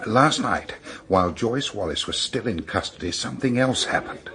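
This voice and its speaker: Brisk british copper in a radio play, very low voice, just laying out the facts. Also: male voice, expressive, pushed and bright. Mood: grave, intrigued. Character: brisk, matter-of-fact male police detective. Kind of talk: radio drama acting.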